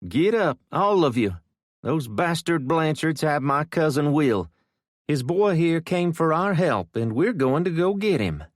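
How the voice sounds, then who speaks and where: A man voicing a tough, bossy middle-aged Cajun woman with a Southwest Louisiana accent, brash and loud. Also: on the high side, expressive, takes it slowly, hardly any pauses, no echo, clean studio sound, pitched above the performer's own voice. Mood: commanding.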